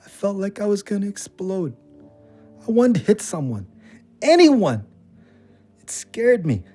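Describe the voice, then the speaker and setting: A troubled man looking for refuge in a radio-style drama. His delivery is brooding and full of self-loathing, moving widely in pitch. Faint music sits behind him. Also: sing-song, unhurried, mellow, exaggerated voice. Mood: anguished confession. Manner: brooding, emotionally volatile. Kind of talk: audio drama acting.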